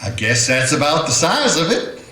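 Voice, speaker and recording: A man playing an uneasy frontier deputy in a radio play, speaking with rough country grammar. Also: on the high side, very animated, moderate pace, bright, forceful tone. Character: jumpy frontier deputy, spooked and wondering. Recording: echoey room.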